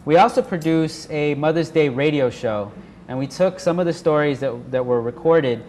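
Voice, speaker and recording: A man's voice: on the high side, normal speed, a little mellow. A man giving an informal workshop presentation as an activist speaker in a live room. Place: indoor workshop room with an audience. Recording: noisy live room recording.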